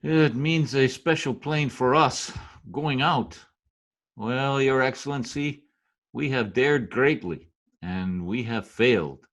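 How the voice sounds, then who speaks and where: A man playing an excitable, commanding island official in a radio comedy-drama, emphatic and given to barking orders. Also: medium pitch, takes it slowly. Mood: resigned. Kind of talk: old-time radio comedy-drama acting.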